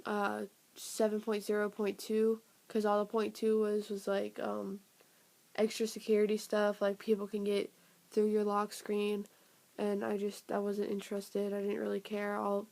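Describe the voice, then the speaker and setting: A young woman reviewing a phone software update in a rambling, casual way, her voice raspy and sniffly from a cold. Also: medium pitch, completely flat delivery, moderate pace. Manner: rambling, filler-heavy, trailing off. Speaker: tech vlogger.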